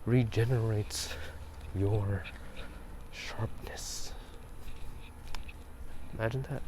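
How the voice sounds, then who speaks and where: A man talking softly in a casual, rambling ASMR style, often slipping into a breathy whisper. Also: on the low side, steady tone. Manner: hushed, halting, unhurried. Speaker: ASMR video host. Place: outdoors at night, walking near a park. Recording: clearly noisy outdoor ambient sound. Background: outdoor ambience.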